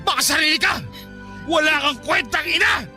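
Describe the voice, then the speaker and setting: A man's voice: very high voice, normal speed, creaky, soft background music, exaggerated voice. A man playing a grieving, furious father in a melodramatic radio drama, anguished and accusing. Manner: anguished, shouting, accusatory delivery. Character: grieving, furious working-class father blaming his wife.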